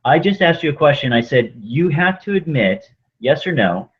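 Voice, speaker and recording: A man's voice: a little high, very animated, moderate pace, dull audio. A man arguing his side as a guest in an online debate, pressing his point with many uh and um fillers.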